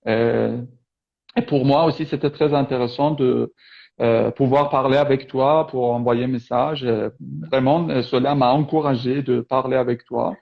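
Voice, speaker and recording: A man's voice: medium pitch, fairly even intonation, moderate pace, gravelly, dull audio. A man from Iran whose first language is Persian, interviewing a guest in casual chat over a video call and speaking hesitantly with many fillers. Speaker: interviewer, French teacher on YouTube.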